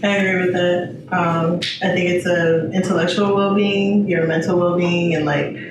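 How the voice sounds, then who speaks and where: A man's voice: high-pitched, flat delivery, normal speed. A man in his twenties from Los Angeles, talking casually as a panelist in a group discussion. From Los Angeles, United States. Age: 20s. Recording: very echoey, distant mic, noisy.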